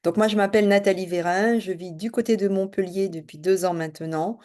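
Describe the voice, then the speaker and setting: A middle-aged woman from French Guiana who works as a spiritual and business coach, introducing herself to camera in a fast, casual way with many hesitations. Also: mid-range voice, darkish tone.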